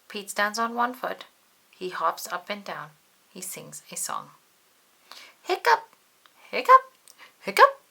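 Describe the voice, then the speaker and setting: A woman reading a children's picture book aloud in an expressive, animated way with dramatic emphasis. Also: medium pitch, unhurried, gravelly. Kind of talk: expressive storybook read-aloud for young children.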